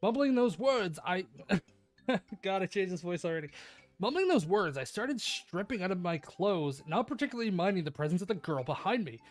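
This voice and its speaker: The animated voice you would hear on a late-night game stream, reading story text with crude asides while music plays. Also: medium pitch, moderate pace. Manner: crude joking, laughs at own ad-libs. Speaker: streamer reading a visual novel aloud. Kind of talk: live comedic read-along with ad-libs.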